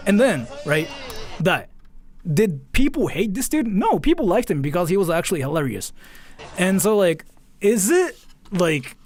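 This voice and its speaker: Lively, higher-pitched Japanese man livestreaming. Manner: rambling, repetitive, animated, blunt and sweary. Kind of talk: casual livestream reaction chat.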